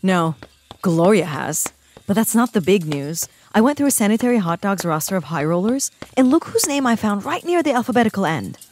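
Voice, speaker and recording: A woman's voice: medium pitch, sing-song, brisk, darkish tone, slight background noise, natural voice. A woman playing a wry, sarcastic hacker agent who comments dryly as she works. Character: wry tech-savvy young female agent, hacker.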